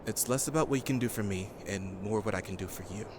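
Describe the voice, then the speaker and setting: A man's voice: lowish voice, fast talker, noisy background, close to an everyday voice. A man playing a guarded, stern young survivor in an audio drama, serious, terse and unamused. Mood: guarded, tense.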